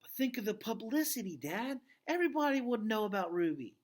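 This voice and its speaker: A man's voice: high-pitched, sing-song, a little fast, pitched above the performer's own voice. A man voicing an eager, stubborn, pleading young girl for a storybook read aloud.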